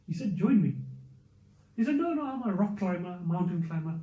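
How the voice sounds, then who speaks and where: A man gives a conversational religious lesson, pressing his points by repeating them. His voice is dark and mellow, sitting a little high for a man. He sounds distant in a roomy, echoing space, and the recording is dull and muffled. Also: expressive, moderate pace. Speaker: youth circle teacher. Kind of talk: religious lesson to a youth and parents circle. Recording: muffled, echoey room, speaker away from mic.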